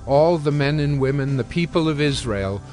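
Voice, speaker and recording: A man narrating scripture from a script in a measured, formal storytelling manner with deliberate phrasing. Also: a little high, lively intonation, darkish tone, soft background music.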